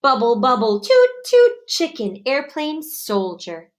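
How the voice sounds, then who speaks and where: A woman voicing an excitable young boy frog in a children's storybook, loud and eager, exclaiming and shouting. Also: high-pitched, slow, full character voice. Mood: proud, singsong.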